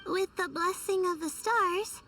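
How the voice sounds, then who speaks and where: A woman voicing a timid, anxious, sleepy young student in a video game, with a hesitant, halting delivery. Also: extremely high pitch, recorded from a distance, slight background noise, exaggerated voice. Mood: relieved, weary. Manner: hesitant, halting, soft-hearted. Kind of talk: scripted video game voice acting.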